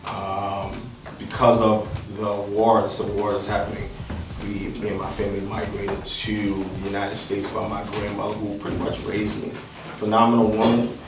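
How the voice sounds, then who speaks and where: A man's voice: on the low side, little pitch movement, normal speed, noisy background. Man from Liberia giving a talk in an echoey room. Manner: conversational, frequent "right?" and "um". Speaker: workshop presenter. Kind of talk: informal seminar talk. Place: room in a house, with a seated audience. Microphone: far camera. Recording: echoey room, picked up from a distance.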